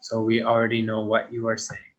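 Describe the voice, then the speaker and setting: A man teaching a grammar lesson to young learners, speaking simply and deliberately. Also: fairly low pitch, monotone, muffled recording. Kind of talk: slow, deliberate recorded English lesson.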